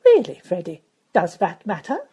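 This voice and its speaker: A woman voicing an aristocratic older duchess who speaks in a poised, measured way. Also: on the low side, huge pitch swings, warm tone, husky, exaggerated voice.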